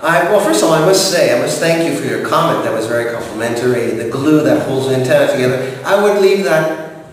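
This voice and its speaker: A French-speaking man from Montreal in his forties, answering interview questions in a formal, measured way with frequent hesitations. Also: fairly high pitch, animated, recorded from a distance, slight background noise. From Montreal, Canada. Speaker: director of operations. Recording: echoey room, voice from off-mic with faint ambience.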